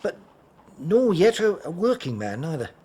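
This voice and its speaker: An actor playing a deferential, helpful working-class English hotel porter in an audio drama, speaking in a homely, colloquial way. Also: male voice, sits high, very animated, moderate pace, mellow, slightly rough, full character voice. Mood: obliging.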